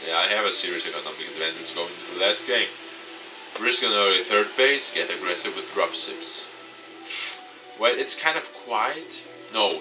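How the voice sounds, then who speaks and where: Male narrator for a game story, on the low side, expressive delivery, recorded off-mic over prominent music. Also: far from the mic. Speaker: gaming YouTuber. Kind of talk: dramatic read-aloud of visual novel narration.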